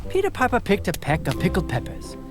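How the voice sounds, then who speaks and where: A woman performs as a mock-solemn fairytale storyteller. Her mid-pitched voice swings hugely for comic drama, with clear music playing behind it. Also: huge pitch swings, brisk. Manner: dramatic, crisp alliterative patter. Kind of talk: theatrical comic storybook narration.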